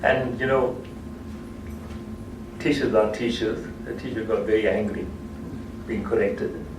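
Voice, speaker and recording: A man's voice: on the low side, steady tone, a little slow. A man whose first language is Gujarati tells an anecdote at a cultural talk, repeating and restarting phrases as he goes. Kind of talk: storytelling lecture. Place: hall. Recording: echoey hall, distant mic, noisy.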